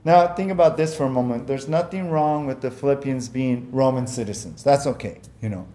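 A man's voice: mid-range voice, brisk, warm tone. A man preaching a sermon as pastor, earnest and conversational, often repeating words and using fillers, whose first language was Japanese.

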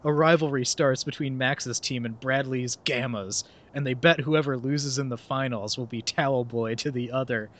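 A man's voice: mid-range voice, steady tone, moderate pace, few pauses, a bit bright. A young man hosting a podcast, chatting casually and reading a summary aloud with sarcastic asides, often laughing mid-sentence. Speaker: podcast host.

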